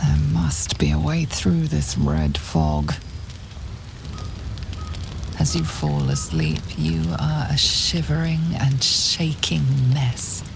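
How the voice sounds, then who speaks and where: Very low, creaky, ominous fantasy narrator. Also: female voice, very animated, background noise, heavily acted. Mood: haunted, dark. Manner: deliberate, portentous, often near-whispered. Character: ominous, sinister female narrator of a dark fantasy tale. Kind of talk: scripted second-person game narration. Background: music.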